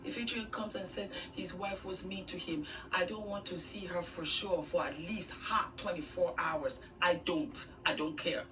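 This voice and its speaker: A woman's voice: fairly low pitch, moderate pace, few pauses, music in the background. A woman giving earnest, conversational relationship advice, played in the background of another video. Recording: faint distant voice played in background with noise.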